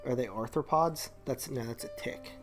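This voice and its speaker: A man's voice: mid-range voice, normal speed, faint music behind. A man co-hosting a podcast, chatting in a casual, conversational way. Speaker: podcast co-host. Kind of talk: casual banter.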